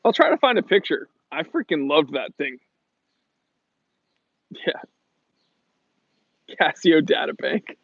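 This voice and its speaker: A man's voice: fairly high pitch, sing-song, slow pace, creaky, pauses often, slightly rough, no room sound. An American man from New England hosting a casual livestream Q&A, chatting in a slangy, jokey, rambling way. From New England, USA. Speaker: livestream host. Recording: muffled lo-fi audio.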